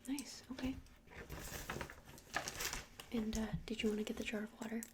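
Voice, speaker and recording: A woman whispering breathily and softly in an unhurried, hesitant ASMR roleplay as a gentle crystal shop witch, close to the microphone. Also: a little high, steady tone, very noisy. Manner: hesitant, unhurried, soft-spoken. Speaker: ASMR performer playing a witch shopkeeper.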